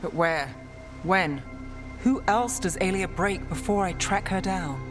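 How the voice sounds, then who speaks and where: A woman's voice: on the low side, unhurried, faint music behind, close to an everyday voice. A woman playing a guarded, world-weary detective in a video game, with a wry, clipped, brooding manner. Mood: frustrated.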